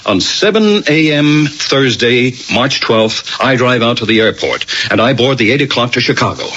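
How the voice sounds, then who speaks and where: Casting: male actor as self-assured, jocular executive husband, animated delivery, vintage radio drama, muffled noisy broadcast sound. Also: mid-range voice, moderate pace, lightly acted. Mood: boastful, elated. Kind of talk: old-time radio drama acting.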